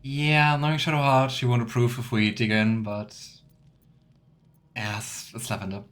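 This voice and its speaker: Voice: mid-range voice, level tone, unhurried. A let's player giving casual, musing commentary on a game and reading dialogue options aloud. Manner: musing, chatty asides.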